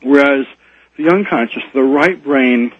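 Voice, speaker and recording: A man appearing as a radio guest over the phone, explaining ideas in a halting way with many ums and repeated words. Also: medium pitch, slight background noise. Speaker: guest author. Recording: phone line, tinny and dry.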